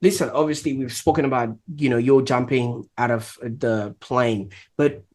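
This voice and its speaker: A man's voice: mid-range voice, fairly even intonation, darkish tone. Encouraging male podcast host. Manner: measured, encouraging, summarizing the guest. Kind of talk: interview hosting.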